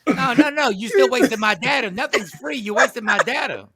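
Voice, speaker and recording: A radio show host joking loudly and animatedly in casual banter, often repeating lines for effect. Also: male voice, very high voice, very animated, moderate pace.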